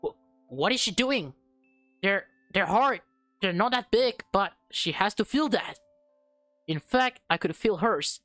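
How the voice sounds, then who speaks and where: A woman streamer reads a visual novel aloud at a slow pace, tripping over and repeating words. She drops in excitable reactions of her own. The sound is dry, with faint music underneath. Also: medium pitch, no echo. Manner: stumbles and repeats words while reading. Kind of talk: reading game text aloud with excited asides.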